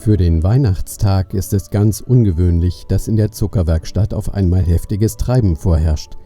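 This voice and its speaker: A man's voice: sits low, normal speed, music in the background, close to an everyday voice. A man narrating a children's Christmas audio play as a storyteller.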